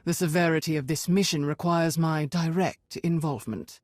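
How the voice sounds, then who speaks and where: An actor playing a stern, duty-bound Sith lord in a video game, formal, brisk and authoritative. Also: medium pitch, fairly even intonation, dry recording, clean studio sound, lightly acted. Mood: stern resolve.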